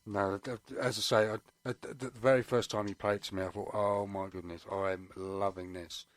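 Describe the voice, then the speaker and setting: A man's voice: on the low side, flat delivery, brisk, no room sound. A man hosting a casual radio interview, effusive and often stumbling over the starts of his words. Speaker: radio host. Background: music playing underneath.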